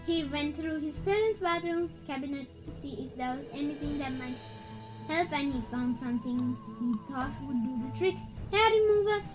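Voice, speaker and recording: A high-pitched voice reads a spooky children's story aloud. The reader often stumbles, restarts and repeats words. Music plays clearly behind the reading. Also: normal speed, rough recording. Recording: background music.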